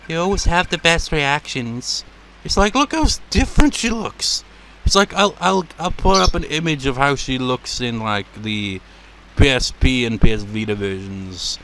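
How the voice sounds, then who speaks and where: A man with a naturally deep voice rambles through casual let's play commentary, his pitch jumping around with lively swings. His tone is bright and forward, with laughs and swearing mixed in. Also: slight background noise.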